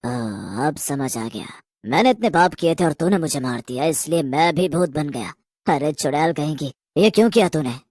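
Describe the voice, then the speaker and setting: Reluctant male ghost, played by a woman: lowish, nervy, grumbling cartoon horror part, dry sound. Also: animated, normal speed, a little mellow, dry recording. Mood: resigned, grumbling. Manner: nervous, protesting, then grudgingly obedient. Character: guilty, greedy man turned reluctant, grumbling male ghost. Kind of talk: Hindi horror cartoon story acting.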